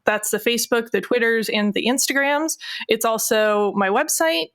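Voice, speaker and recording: A woman's voice: a little high, moderate pace, a bit bright. A woman guest player on a tabletop game podcast, chatting casually in a playful, tongue-in-cheek way. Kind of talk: casual chat.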